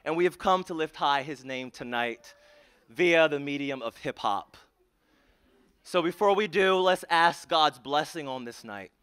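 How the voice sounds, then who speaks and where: Bright, clear-voiced young emcee. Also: male voice, a little high, lively intonation, unhurried. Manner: earnest, builds to rousing shouts, hushed prayer. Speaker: concert emcee. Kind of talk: emcee introductions and public prayer. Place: large auditorium stage. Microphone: handheld mic.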